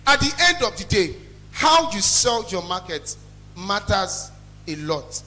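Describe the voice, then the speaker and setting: High-pitched, bright-voiced young Nigerian man. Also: very animated, measured pace. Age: young adult. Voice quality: loud, carrying. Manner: emphatic, blunt, repeats phrases for effect. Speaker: small chops business owner, guest speaker. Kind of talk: motivational talk to a student audience.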